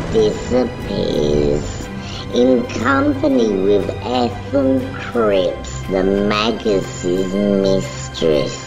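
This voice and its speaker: A man giving solemn, deliberate scripted narration for a dramatic story. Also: low voice, creaky, muffled recording.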